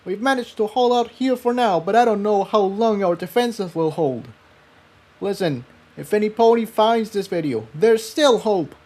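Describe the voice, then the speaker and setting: A man's voice: high-pitched, normal speed, darkish tone, voice pushed up. A man voicing a panicked armored pony soldier making an urgent, desperate plea in a story reading.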